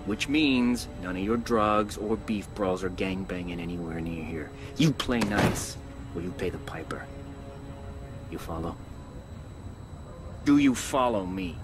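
A crooked detective in a video game, smug and menacing. His voice is fairly low and swaggering, taunting, with music clearly heard behind it. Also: measured pace, long pauses. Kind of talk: video game cutscene acting.

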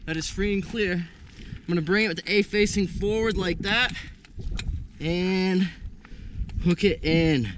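A young man teaching paramotor hookup outdoors in an open field, energetic and punctuating steps with punchy exclamations. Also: high voice, unhurried, pauses often, a little hiss or hum. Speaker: paramotor instructor. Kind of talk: hands-on outdoor demonstration.

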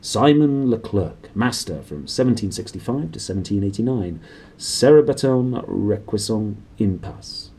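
Husky man reading a story expressively. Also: fairly low pitch, animated, a little slow, dark tone. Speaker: storybook reader. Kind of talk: expressive read-aloud of a children's novel. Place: small cluttered room indoors.

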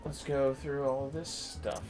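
A man's voice: medium pitch, level tone, slow, over music. A man hosting a casual gaming livestream from home, murmuring to himself and tossing playful asides to his viewers. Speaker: livestreamer, host. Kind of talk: casual gaming livestream commentary, talking to chat. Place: indoors at home.

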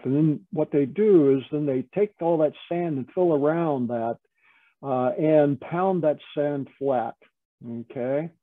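A man giving an informal, explanatory slide presentation as an instructor, halting and often repeating words. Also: mid-range voice, no echo. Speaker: metalworking studio lead, instructor. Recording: tinny, narrow phone-like call audio.

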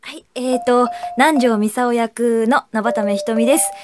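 Giggly chatty woman, slightly high. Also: fairly even intonation, moderate pace, over music. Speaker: voice actress. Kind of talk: cast comment.

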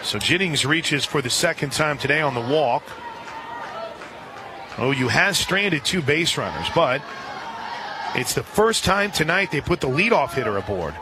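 A man doing live radio play-by-play of softball in a conversational way that can burst into excited calls. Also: fairly high pitch, a little slow, long pauses. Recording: crowd and music behind broadcast. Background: stadium crowd, music.

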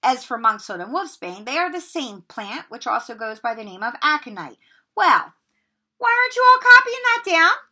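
A woman's voice: sits high, sing-song, moderate pace, bright, forceful tone, pitched above the performer's own voice, full character voice. A woman reading aloud and voicing a cold, sneering male teacher who snaps at his pupils. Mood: lecturing. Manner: snapping, sneering, harsh.

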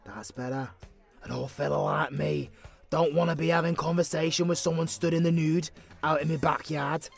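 A kindly old British barkeep played by a man chats bluntly in a fairly high male voice. He is gruff and sweary but welcoming, as in a casual audio drama. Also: steady tone, normal speed, faint music behind. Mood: easygoing, reassuring. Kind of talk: casual in-character audio roleplay.

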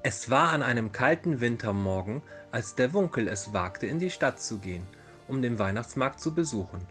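A man's voice: mid-range voice, expressive, moderate pace, fairly bright tone. A man narrating a fairy tale as a storyteller in a dramatized reading. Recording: music behind the voice. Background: background music.

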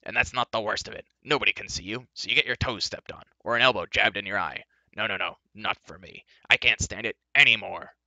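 A man's voice: fairly low pitch, brisk, vocal fry, few pauses, sharp tone, no room sound, dull audio, heavily acted. A man voicing a grumpy, scowling dwarf who grumbles and complains emphatically in an audiobook story. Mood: exasperated.

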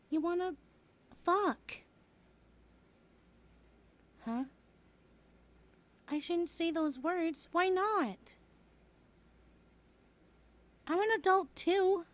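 A woman playing a chatty, teasing young adult best friend in a casual, hesitant ASMR roleplay. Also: very high voice. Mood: whiny, indignant. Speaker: voice actor in audio roleplay. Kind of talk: ASMR roleplay, chatty one-sided conversation.

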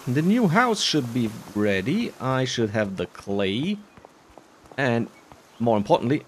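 A man giving casual, playful playthrough commentary on a video game, often musing to himself. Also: medium pitch. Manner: playful, self-talking, drawn-out musing. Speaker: video game player and narrator.

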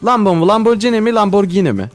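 A man's voice: high voice, sing-song, fast talker, music in the background. A man in casual co-op gameplay banter, joking and slangy, often repeating his words. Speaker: gaming video co-host.

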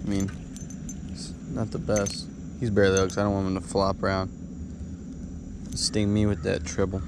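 A man's voice: deep voice, completely flat delivery, normal speed. A young man vlogging while he fishes from a dock, explaining in a loose, easygoing way with lots of "like" and "sorta". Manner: laid-back, loose with fillers like "sorta" and "like". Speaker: fishing vlogger. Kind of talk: casual narration while fishing. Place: outdoors on a dock by the water, evening into night. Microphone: head-mounted action camera. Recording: outdoor noise, insects and crickets.

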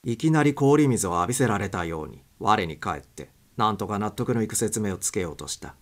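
A man with a low-pitched voice narrates a suspense story. He reads from a script as an audiobook narrator, his voice sitting deep in the male range. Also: animated, fairly quick.

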